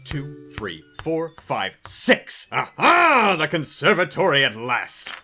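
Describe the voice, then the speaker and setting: A pompous male detective in love with his own genius. His delivery is grandiose and theatrical, leaping all over in pitch, as in a comic radio play. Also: huge pitch swings, slow pace, pushed and bright, soft background music, full character voice. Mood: triumphant pomposity. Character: pompous self-styled great male detective. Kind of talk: comic audio drama acting.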